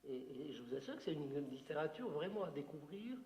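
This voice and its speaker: A man's voice: fairly high pitch, very animated, brisk, a little mellow. A male author from Algeria, whose first language is Algerian Arabic, giving an unscripted talk to an audience, digressive and emphatic with many fillers. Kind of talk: unscripted lecture answering a question. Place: hall with audience. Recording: roomy hall with long reverb.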